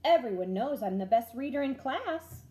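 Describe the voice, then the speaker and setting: A woman's voice: high voice, expressive, normal speed, warm tone. A woman voicing a proud, boastful first-grade boy in a storybook, with emphatic bragging.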